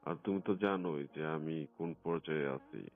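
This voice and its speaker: Low-voiced coaxing man, muffled phone recording. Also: a little fast. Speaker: phone caller in a recorded conversation. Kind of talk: private phone conversation.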